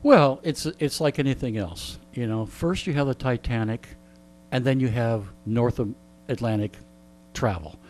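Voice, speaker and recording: A middle-aged man with a mid-range voice talks like an old hand of radio in an interview. He rambles through casual stories with dry humour. There is clear noise and a hum behind him. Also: medium pitch, moderate pace, a little mellow. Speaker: veteran radio show host being interviewed. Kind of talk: casual storytelling interview chat.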